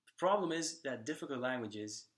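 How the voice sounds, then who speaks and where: A young man whose first language is Slovak, talking to camera in a casual vlog about learning languages, pausing often with ums and restarts. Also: mid-range voice, expressive. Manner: thoughtful, lots of ums and restarts.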